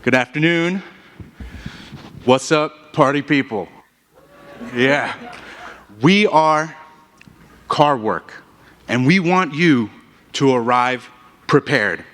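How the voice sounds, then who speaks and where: A man presenting his team's hackathon app, hyping the crowd and running quick audience polls. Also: mid-range voice, lively intonation, a bit bright, a little hiss or hum. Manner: upbeat showman, crowd polls. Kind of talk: rehearsed pitch presentation. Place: conference stage. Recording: long hall reverb.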